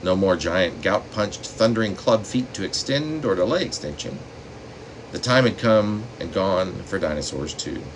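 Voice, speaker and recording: Very deep-voiced man reading poetry. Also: moderate pace. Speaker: poet reading own work. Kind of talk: poetry reading with spoken asides. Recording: steady room noise, air conditioning hum.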